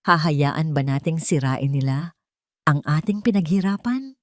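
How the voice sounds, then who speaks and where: A determined woman executive from an audio drama, put on by a female narrator. She speaks firmly and rousingly to her people, in a slightly low voice with a close, dry sound. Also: expressive, unhurried, a little mellow, no echo. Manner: firm, impassioned, rousing. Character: determined, brave woman boss rallying her fearful workers.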